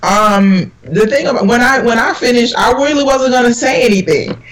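A woman minister, born in Jamaica, speaks on a panel in an earnest, sermon-like way. She leans on scripture as she talks. Her voice sits high for a speaker. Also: moderate pace. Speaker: minister, guest. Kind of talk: conversational panel talk with preaching.

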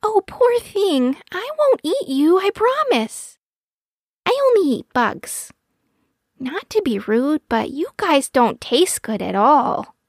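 A woman's voice: sits high, very animated, takes it slowly, dry recording, clean studio sound, pitched above the performer's own voice, exaggerated voice. A woman voicing a timid, earnest young female baby owl with a hesitant, stammering delivery in a storybook reading. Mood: reassuring.

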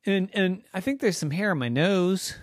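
A woman's voice: fairly low pitch, animated, normal speed, no room sound, clean studio sound, pitched above the performer's own voice, lightly acted. A woman voicing a cheerful five-year-old boy pirate in a children's story, jolly and proud.

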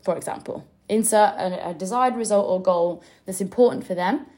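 A woman's voice: medium pitch, normal speed, warm tone. A young adult woman, a business coach hosting her own podcast, teaching in a chatty, direct way with mild swearing. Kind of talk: casual teaching podcast monologue.

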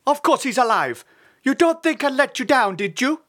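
A storyteller playing a huge, proud osprey father in a children's storybook. The voice is raised well above an everyday pitch and swings about with emphatic, commanding pride. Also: expressive, normal speed, a bit bright. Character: colossal proud male osprey father, booming and amused.

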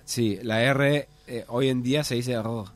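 A Uruguayan man telling anecdotes in a relaxed, hesitant way in casual studio chat. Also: medium pitch, unhurried. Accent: Uruguayan Spanish. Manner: relaxed storytelling, hesitant with restarts. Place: radio studio. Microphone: studio microphone.

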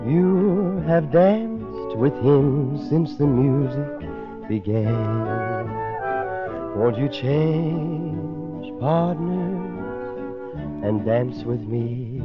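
A man's voice: on the high side, lively intonation, drawn-out words, loud music behind the voice. A man singing in a 1930s crooning style with a dance band on old-time radio. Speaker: band singer. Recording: muffled old radio broadcast. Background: brass dance band.

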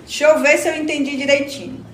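A woman's voice: sits high, flat delivery, fast, lightly acted. A woman with a Brazilian accent playing a curious, agreeable community member who talks colloquially in an educational radio play. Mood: curious, attentive. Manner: colloquial, inquisitive. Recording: echoey room, faint noise.

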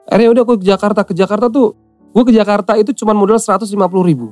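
A man's voice: high voice, fairly even intonation, rapid-fire, darkish tone, dry recording, soft background music. A young man from Central Java telling his story in casual slangy talk and acting out the voices he quotes. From Central Java, Indonesia. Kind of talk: casual podcast chat, storytelling.

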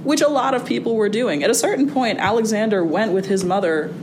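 A young woman, a college student, talking casually as an interview guest in a wry, animated way, with fillers and restarts. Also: fairly quick. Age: young adult. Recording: audible background noise with a low rumble.

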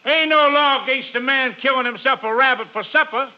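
High-voiced, befuddled ranch hand. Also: male voice, a little fast. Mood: indignant. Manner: folksy, rambling, hesitant. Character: hard-of-hearing rural Texas ranch hand and drinker, befuddled. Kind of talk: 1950s radio drama acting. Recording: muffled old radio recording.

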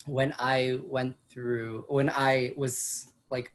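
Very low-voiced chatty woman from the Philippines. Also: level tone, drawn-out words. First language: Chavacano. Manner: chatty, with frequent fillers and laughs. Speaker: teacher. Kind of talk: casual conversation.

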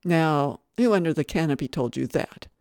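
A woman's voice: fairly low pitch, expressive, moderate pace, mellow, dry recording, studio quality. A woman playing a hearty, playful grown-up visitor in a storybook, with jovial exclamations and old-fashioned turns of phrase. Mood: hearty, coaxing.

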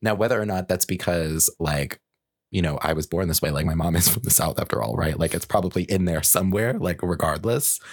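A man's voice: low voice, brisk, dry recording, clean studio sound. A man talking casually as a podcast host, reflective and working his way through looping asides. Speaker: podcast host and design researcher. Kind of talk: casual conversational chat.

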